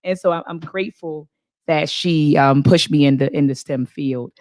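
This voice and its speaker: A woman in her forties from Baltimore, a professor, telling her story as an interview guest in earnest, lively speech with many fillers. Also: low voice, moderate pace, no room sound, studio quality. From Baltimore, USA. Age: 40s.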